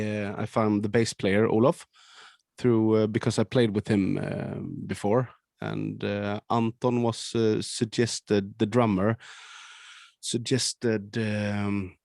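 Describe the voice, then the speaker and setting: A low, gravelly man's voice with a Swedish accent, talking slowly and haltingly. He answers an interview question with lots of ums and restarts, and the sound is dry and close. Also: darkish tone, no room sound. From Sweden. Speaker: band founder and singer. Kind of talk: casual interview over a call.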